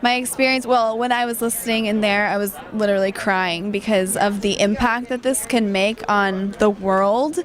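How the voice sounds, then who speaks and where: A woman's voice: mid-range voice, fairly even intonation, moderate pace, hardly any pauses. A woman from Southern California gives an earnest, rambling testimonial with many restarts.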